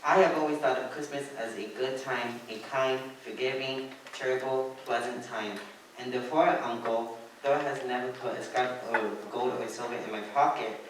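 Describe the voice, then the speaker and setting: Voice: medium pitch, steady tone, normal speed, close to an everyday voice. A young student actor playing a cheerful, good-hearted nephew in a radio play, earnestly declaimed. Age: teenager or young adult. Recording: echoey room, far mic, background noise.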